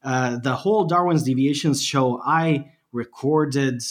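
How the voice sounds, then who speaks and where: Man, casual interview guest, self-mocking, laughs a lot, average pitch. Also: unhurried. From Bosnia and Herzegovina. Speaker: podcast guest, science podcaster.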